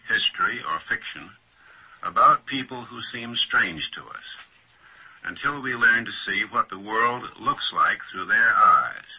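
An American man speaking as an academic panelist in a 1940s radio discussion, measured, formal and articulate. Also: fairly low pitch, pushed and bright, breathy edge. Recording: noisy old radio recording.

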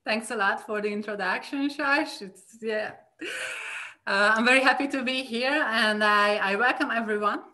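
A woman's voice: fairly high pitch, moderate pace, sharp tone. A woman in her thirties from Hungary, an astrophysicist giving a friendly, explanatory science talk over a video call from home. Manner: friendly, explanatory, points things out on slides. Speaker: astrophysicist guest speaker. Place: home room.

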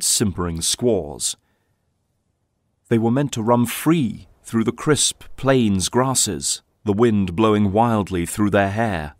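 A man's voice: on the low side, no echo. A man reading scripted dramatic narration as the storyteller of an audio drama.

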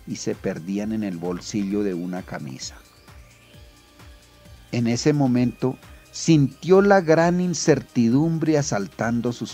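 Male narrator, unhurried pace, measured storytelling, literary audio fiction, faint music behind. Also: medium pitch, a little mellow.

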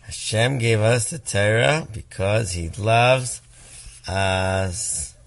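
A man reading a children's story aloud to a small child in a playful, expressive manner. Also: on the low side, takes it slowly, creaky. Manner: playful, acts out animal sounds and emphatic words. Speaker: storybook reader.

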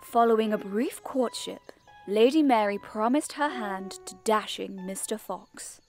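A woman's voice: mid-range voice, a little slow, a little mellow, soft background music, natural voice. A woman playing a restless, genteel sixteen-year-old girl in a period audio drama, with ornate formal diction. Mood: intrigued. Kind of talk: audio drama acting and storytelling.

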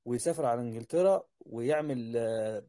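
Fast rambling Egyptian man, dry sound. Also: mid-range voice, warm tone, no room sound. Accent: Egyptian Arabic. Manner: rambling, repeats words and phrases. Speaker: host, doctor advising junior colleagues. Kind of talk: casual advice in an online group voice chat. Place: online voice call.

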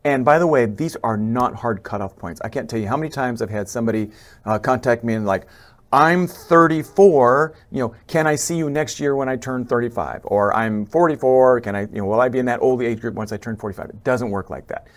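A middle-aged man, an eye doctor, talks through a slide lecture from his office. His speech is casual and full of fillers, with frequent restarts. His voice sits at an ordinary pitch. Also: a little fast. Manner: conversational, many fillers and restarts. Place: indoor office, webcam inset over slides.

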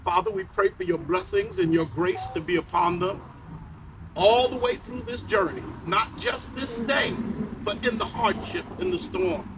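A man's voice: sits high, background noise. A man officiating a wedding outdoors, praying solemnly and leading the vows line by line, picked up by a far camera. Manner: measured, prayerful, leads vows line by line. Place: outdoor lawn wedding ceremony. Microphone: far camera on the ground. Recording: outdoor ambience with chirping insects. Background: crickets and insects.

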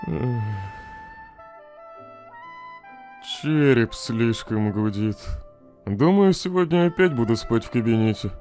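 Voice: mid-range voice, unhurried, warm tone, faint music behind, lightly acted. A performer voicing a troubled, self-doubting male skeleton monster in an emotional comic dub. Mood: weary, frustrated.

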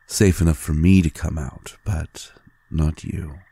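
A man playing a tender, adoring vampire lover in an intimate audio roleplay, gently teasing in a hushed, halting, gravelly voice. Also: fairly even intonation, no echo, exaggerated voice. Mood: fond, gently teasing. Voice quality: very deep, gravelly and husky. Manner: slow, hushed, halting with pauses.

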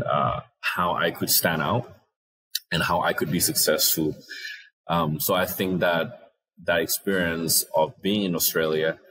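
A man in his thirties from Thailand with a Thai accent, answering interview questions thoughtfully and haltingly. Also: very deep voice, a bit bright, breathy edge, rough recording. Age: 30s. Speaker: interview guest, social entrepreneur. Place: indoor room, on a video call. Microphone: earphone headset.

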